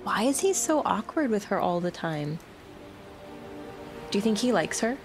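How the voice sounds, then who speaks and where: A woman's voice: mid-range voice, very animated, moderate pace. A woman chatting casually as a player in a tabletop role-playing game. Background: music.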